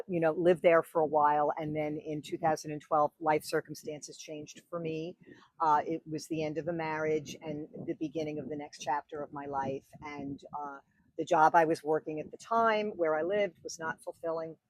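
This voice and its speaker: A woman's voice: sits low, normal speed, a little mellow, dry recording, rough recording. A woman in her sixties with a New York accent, telling her story as a wedding officiant on a podcast, chatty and full of fillers. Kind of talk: podcast interview.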